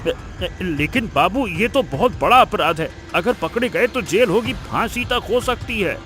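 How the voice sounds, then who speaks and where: A very high, bright voice of a timid cartoon henchman, servile and pleading. He stammers nervously over background music. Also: lively intonation, measured pace. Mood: nervous, hesitant. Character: frightened, servile henchman of a village strongman. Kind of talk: Hindi cartoon moral-story voice acting.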